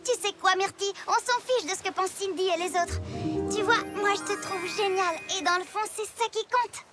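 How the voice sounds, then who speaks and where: A woman voicing a lively, confident little girl in a cartoon, with music behind. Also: extremely high pitch, a little fast. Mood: cheerful. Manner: bright, animated.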